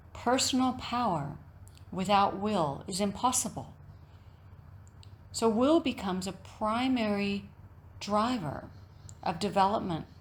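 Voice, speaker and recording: Slow-speaking woman guiding a meditation. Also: medium pitch, slight background noise. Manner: measured, deliberate phrasing with frequent pauses. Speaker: yoga nidra teacher. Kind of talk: guided meditation talk, reflective and instructional.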